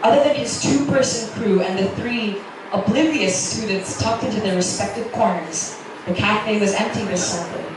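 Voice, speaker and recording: A woman's voice: mid-range voice, moderate pace. A woman narrating a romance story in the first person as a dramatic literary reading. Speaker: narrator reading a romance novel excerpt aloud. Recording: roomy echo, distant mic, noisy background.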